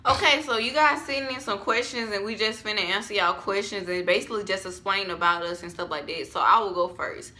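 Bright-voiced chatty young woman. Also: medium pitch, a little fast, few pauses. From Alabama, US. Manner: upbeat, chatty. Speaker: vlogger. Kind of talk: casual chat. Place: small room at home.

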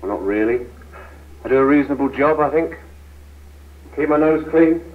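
An actor playing an easygoing, unambitious British office colleague with a wry, placating manner in a 1970s training film. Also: male voice, medium pitch, moderate pace, lightly acted. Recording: tinny, noisy 1970s film soundtrack.

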